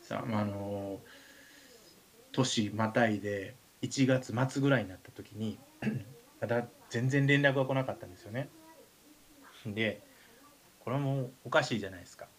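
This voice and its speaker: A man's voice: lowish voice, normal speed, pauses often. A man with a Kansai accent chatting casually and telling anecdotes, acting out the exchanges he quotes. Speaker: radio show talker, writer.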